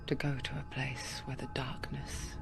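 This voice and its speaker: Low, whispery, solemn storyteller. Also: female voice, level tone, a little slow, music in the background. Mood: solemn, hushed. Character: intimate, solemn female storyteller inside the mind. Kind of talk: hushed scripted game narration. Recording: loud noisy ambience behind.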